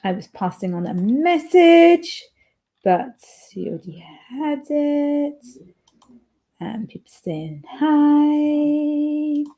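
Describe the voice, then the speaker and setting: A woman's voice: high voice, big pitch swings, drawn-out words, pauses often, dark tone. A woman hosting a writing livestream, chatting casually and playfully as she reads chat messages aloud.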